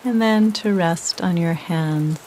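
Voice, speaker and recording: A woman guiding a body scan meditation in a gentle, unhurried, instructional way with long pauses. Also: on the low side, poor audio. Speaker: meditation guide. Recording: steady rain and hiss behind. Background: rain sounds.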